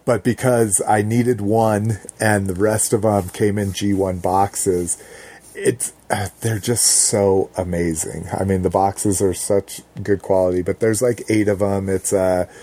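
A man's voice: fairly low pitch, steady tone, measured pace, dark tone. A man hosting a podcast in casual chat, rambling and searching for words with many fillers. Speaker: podcast host.

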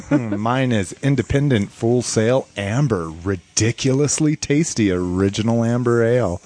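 A man's voice: on the low side, darkish tone. A man co-hosting a podcast, chatting casually in a rambling, self-deprecating way and laughing often. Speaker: podcast co-host. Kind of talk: casual chat.

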